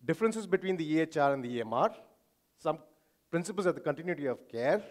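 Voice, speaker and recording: A man's voice: on the high side, animated, fast. A man with an Indian accent giving an explanatory conference talk as a physician, addressing the audience informally with everyday examples. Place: hotel conference hall, speaking to an audience.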